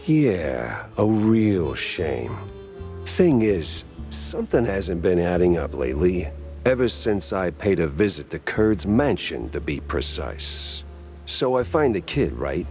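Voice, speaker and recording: An actor playing a world-weary, wisecracking cartoon private detective with a wry, laconic delivery. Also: male voice, deep voice, sing-song, takes it slowly, a little mellow, lo-fi sound, faint music behind, heavily acted. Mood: accusing, cool. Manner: wry, laconic, hesitant drawl. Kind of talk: 1930s hardboiled detective game acting.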